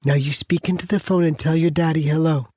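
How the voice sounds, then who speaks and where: A man's voice: on the high side, fairly even intonation, fairly quick, warm tone, no room sound, studio quality, voice pushed up, close to an everyday voice. A man voicing a firm older grandmother in an audiobook story. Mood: bossy coaxing.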